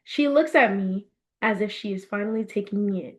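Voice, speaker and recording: A woman reading her own fiction aloud as an expressive storybook narration. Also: mid-range voice, brisk.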